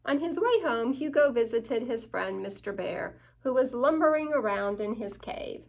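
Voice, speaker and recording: High, very animated woman reading to children. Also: normal speed, gravelly. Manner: dramatic emphasis, inviting questions to listeners. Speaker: children's story-time host. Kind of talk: animated picture-book read-aloud for young children.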